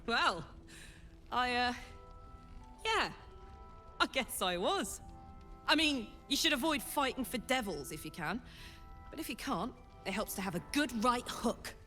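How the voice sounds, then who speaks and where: A woman's voice: on the high side, sing-song, measured pace, long pauses, bright, forceful tone, full character voice. A woman playing a tough, big-hearted female fighter in a video game, casual and encouraging, with long reverb and music behind. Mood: modest, frank. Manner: casual, plain-spoken, encouraging.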